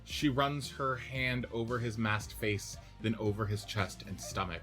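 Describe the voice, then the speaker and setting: A man's voice: fairly low pitch, a little slow, faint music behind. A man reading stage directions for a radio-play-style script. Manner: steady, clipped direction reading.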